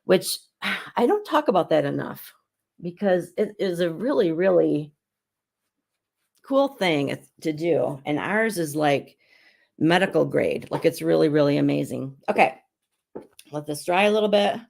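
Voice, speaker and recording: Hoarse middle-aged lady, low gravelly voice, rambling chat as she shows off skincare stuff. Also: animated, measured pace, pauses often, a little mellow. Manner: chatty and rambling, with frequent restarts. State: hoarse, recovering from illness. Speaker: beauty consultant and show host. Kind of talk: casual live skincare demo.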